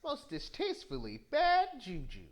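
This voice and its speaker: A man's voice: extremely high pitch, wildly swooping pitch, normal speed, exaggerated voice. A man voicing a sly female alligator voodoo priestess from a video game, theatrical, drawling and taunting. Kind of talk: video game villain fan voice-over.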